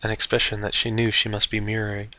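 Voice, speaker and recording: A man's voice: fairly low pitch, monotone, fast, sharp tone, no echo, rough recording. A man narrating a story aloud in a theatrical, dramatic storybook style. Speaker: host and narrator of fan fiction readings.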